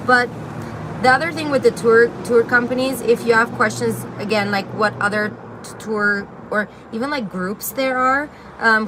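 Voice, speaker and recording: A woman's voice: a little high, noisy background. Chatty young woman livestreaming outdoors. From Syria. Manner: chatty, reads viewer comments aloud, many fillers. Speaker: livestream host. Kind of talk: casual livestream Q&A. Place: outdoor balcony with greenery. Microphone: phone camera at arm's length.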